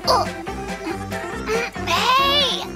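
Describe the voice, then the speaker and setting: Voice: very high voice, expressive, extremely slow, heavily acted. An actor voicing a laid-back, crafty young male bear cub in a children's cartoon, with a casual, coaxing drawl. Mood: coaxing, sly. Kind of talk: children's animated cartoon voice acting. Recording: music playing behind the voice.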